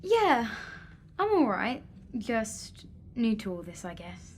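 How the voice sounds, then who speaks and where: Woman acting a high-voiced, practical young sceptic. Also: big pitch swings, slow, a little mellow, a little hiss or hum, heavily acted. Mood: subdued, doubtful. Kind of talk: audio drama acting.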